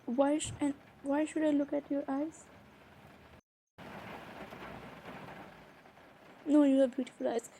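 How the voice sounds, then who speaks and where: A woman's voice: high-pitched, steady tone, brisk, warm tone, slight background noise, poor audio. A woman playing a shy, flustered young woman in an improvised intimate romantic audio roleplay, stammering and hesitating as she talks. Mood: flustered, defensive. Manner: hesitant, stammering, breaking off and repeating words.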